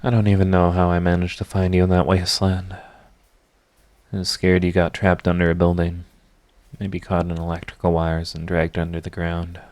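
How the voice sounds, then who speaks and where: A man plays a sardonic supervillain with a low-pitched voice in an intimate audio drama. He is theatrical and sarcastic, yet his halting delivery betrays a secret devotion to his rival. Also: darkish tone, natural voice. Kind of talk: intimate scripted audio roleplay monologue.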